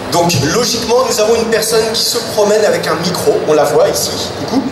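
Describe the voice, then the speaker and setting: Male emcee for a convention panel, lively crowd-hyping delivery; high voice, heavy hall echo and loud background noise. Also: a little fast, far from the mic. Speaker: panel host. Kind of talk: stage presentation to an audience. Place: large convention hall stage.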